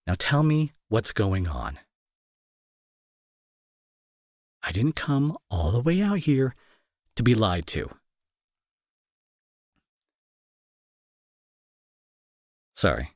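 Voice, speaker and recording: Man acting a irritable young bully, wildly swinging pitch. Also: no room sound, studio quality. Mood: sarcastic, accusing. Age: young adult. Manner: sarcastic, scolding, exasperated. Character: irritable young male bully, gruff but secretly worried. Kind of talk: intimate roleplay audio drama acting.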